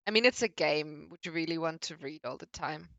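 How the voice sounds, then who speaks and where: A woman chatting casually with her partner about games, teasing and quick to react. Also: deep voice, creaky, a bit bright, no echo, dull audio. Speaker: guest, girlfriend. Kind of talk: casual chat.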